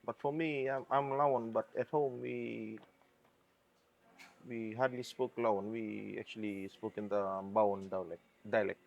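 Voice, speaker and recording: A man from Fiji with a Fijian English accent, a band member answering interview questions in a reflective, halting way. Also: lowish voice, steady tone, vocal fry, muffled recording.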